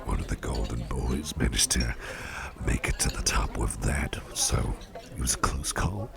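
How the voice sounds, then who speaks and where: A man playing a suave, doting devilish businessman boss in a soft-spoken ASMR roleplay, murmuring with courtly, unhurried gratitude and slipping into gravelly whispers. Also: very deep voice, animated, exaggerated voice. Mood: appreciative, relaxed. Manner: courtly, unhurried, repeats phrases appreciatively. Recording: party crowd murmur behind voice. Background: crowd chatter.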